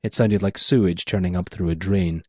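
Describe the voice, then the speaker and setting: A man's voice: deep voice, steady tone, normal speed, mellow, slightly rough, no room sound, dull audio. A man, the author, reading his own first-person noir story aloud in a hardboiled manner.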